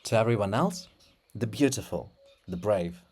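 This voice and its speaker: A person giving a dramatic reading of a play in a theatrical, deliberate manner, phrase by phrase. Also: medium pitch, lively intonation, a little mellow, a little hiss or hum. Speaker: reader of a play.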